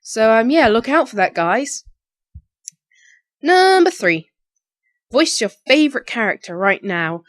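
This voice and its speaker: A woman's voice: fairly high pitch, sing-song, a little slow, pauses often. Young woman chatting casually, slightly tired. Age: young adult, about 18. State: slightly tired, recently woken. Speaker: amateur voice actor. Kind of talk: casual question-and-answer vlog.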